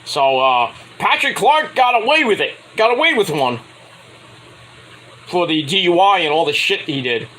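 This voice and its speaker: A man's voice: high voice, sing-song, moderate pace, pushed and bright, low-quality recording. A man casually reviewing a wrestling show, rambling and correcting himself, with sarcastic asides while he fights off a cold. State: getting a cold, voice going. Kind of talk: casual solo review recap.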